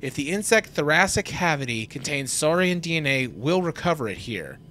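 An actor playing a cool, precise geneticist in his thirties who explains in rapid technical terms. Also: on the high side, big pitch swings, pushed and bright, a little hiss or hum.

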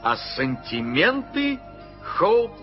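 A man narrating a classic story in an expressive, storytelling manner. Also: high voice, very slow. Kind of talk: scripted literary narration. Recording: dull old radio recording with music.

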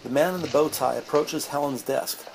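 A man's voice: fairly high pitch, normal speed, gravelly, music in the background, low-quality recording. A man narrating a scripted audio drama in a descriptive storytelling style.

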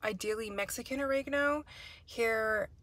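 A woman in her thirties chatting to a handheld phone camera, listing ingredients and thinking out loud, first in a parked car and then in her kitchen. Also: fairly high pitch, moderate pace, no echo. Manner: chatty, lists items aloud, thinks out loud. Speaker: home cooking vlogger. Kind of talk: casual talk to camera. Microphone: phone camera held at arm's length.

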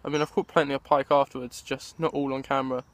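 A man's voice: mid-range voice, level tone, fast, no room sound. A young man, talking casually to viewers while fishing on a riverbank, filmed on a handheld camera at arm's length. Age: young adult. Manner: chatty, rambling, addresses viewers as guys. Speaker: fishing vlogger. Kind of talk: casual outdoor vlog. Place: riverbank outdoors in winter.

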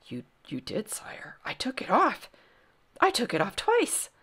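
A meek, baffled male commoner from a storybook, put on by a woman. He speaks in a hushed, breathy voice that often dips toward a whisper. He stammers politely, with pitch that wavers widely. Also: wildly swooping pitch, unhurried, full character voice. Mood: bewildered, stammering.

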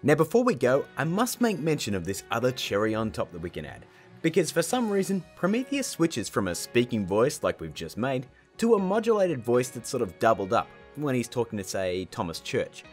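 A man's voice: mid-range voice, wildly swooping pitch, normal speed, soft background music. A man in his thirties hosting a casual video tutorial, playful, chatty and informal in his delivery. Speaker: tutorial host.

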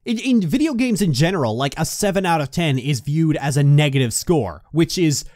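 A man with a slightly higher voice talks in a loud, clear, direct way, often quick. His sound is dry and close to the microphone, with no room behind it. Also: expressive, no echo. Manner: fast-talking. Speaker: video essay host. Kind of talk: casual podcast discussion. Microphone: close studio microphone.

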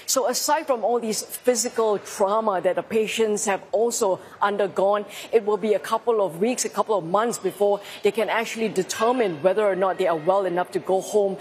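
A woman in her forties reports live, measured and articulate. She speaks into a lapel mic in an indoor hospital lobby, a faint hum of noise around her. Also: medium pitch, darkish tone. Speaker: field reporter.

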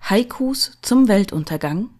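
A woman's voice: mid-range voice, lively intonation, a little slow, darkish tone. A woman reading poetry and announcements as scripted narration. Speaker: podcast announcer and poem reader. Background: music.

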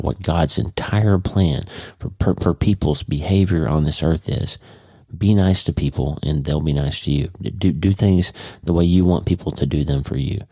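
Deep, dark-toned man with a congested voice; easygoing podcast host talking casually from home. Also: slightly rough, no echo. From United States. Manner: warm, chatty, leaves in his own slips. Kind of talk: casual spoken podcast monologue. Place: home, early morning.

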